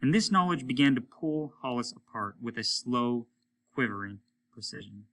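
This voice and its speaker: A man reading a story aloud as dramatic narration, deliberate and expressive. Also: mid-range voice. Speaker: story reader, teacher.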